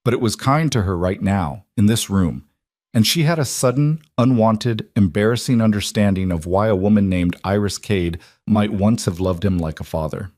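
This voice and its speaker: Low-voiced male story narrator. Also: no echo. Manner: measured, even storytelling. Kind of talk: scripted narration.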